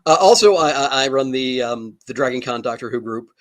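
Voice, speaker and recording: A man's voice: mid-range voice, lively intonation, fairly quick. Wry man chatting casually on a panel. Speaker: panelist, freelance artist. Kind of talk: casual chat. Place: online video call.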